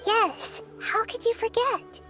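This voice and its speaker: Casting: a little girl deity for a game, polite and inquisitive, very high register, wise beyond her size. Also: takes it slowly, over loud music. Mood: kind, thoughtful. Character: small wise girl deity, kind and curious. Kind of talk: scripted video game dialogue.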